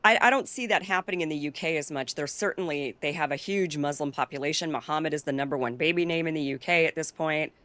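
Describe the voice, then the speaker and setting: A woman's voice: sits low, fast, few pauses, a bit bright, dry recording. A woman hosting a political talk show, chatting in an opinionated, loose way and laughing easily. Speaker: show host. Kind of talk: casual political chat.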